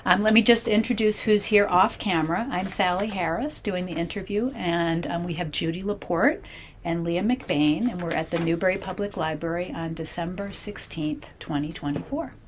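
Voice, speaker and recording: A woman's voice: on the low side, moderate pace, a little hiss or hum. Woman interviewer asking brief questions. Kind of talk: oral history interview. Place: public library.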